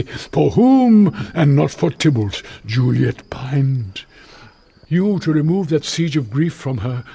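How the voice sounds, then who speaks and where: An older man playing an elderly friar in a classical radio drama, giving a solemn, sorrowful confession in measured verse. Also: fairly high pitch, vocal fry, dark tone, husky, heavily acted. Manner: measured, solemn storytelling delivery.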